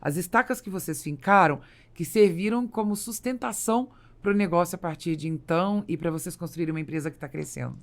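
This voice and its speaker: A Brazilian woman with a creaky voice hosting a conversational business podcast, explaining and affirming as she interviews. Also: fairly low pitch, a little fast, hardly any pauses. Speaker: podcast host and business consultant.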